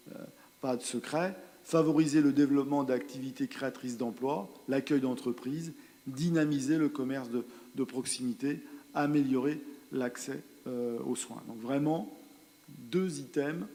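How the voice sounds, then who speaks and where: A man presents findings to a meeting in a mellow, soft-edged voice of medium pitch. His delivery is halting, often repeating small words as he explains. The sound carries the long reverb of a large hall. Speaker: presenter of a territorial project at a council meeting. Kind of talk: spoken presentation of survey results and strategy, partly improvised.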